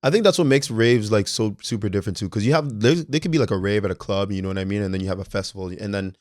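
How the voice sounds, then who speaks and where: A young man talks quickly and keenly in a lowish voice, often checking in with "you know what I mean". The sound is dry and close. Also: no echo. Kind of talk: casual chat.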